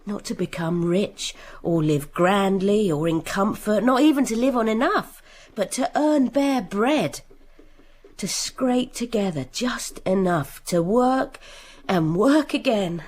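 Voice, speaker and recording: An actress playing a weary, sorrowful young seamstress in a radio drama, tender and plaintive, lingering on repeated phrases. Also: female voice, mid-range voice, slow, darkish tone. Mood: weary despair. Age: young adult.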